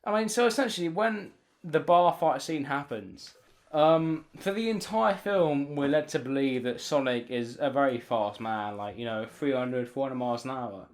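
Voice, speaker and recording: A man's voice: mid-range voice, normal speed. A man chatting casually on a podcast, arguing his point insistently with plenty of swearing. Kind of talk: loud casual podcast banter.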